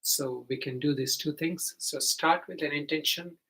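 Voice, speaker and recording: A man teaching guided meditation, giving gentle, simple step-by-step instructions with hesitant pauses. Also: a little high, a little hiss or hum.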